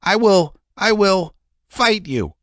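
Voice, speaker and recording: High shrill hysterical guy stammering and shouting, man putting it on, dry sound. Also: lively intonation, slow pace, dry recording, exaggerated voice. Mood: hateful.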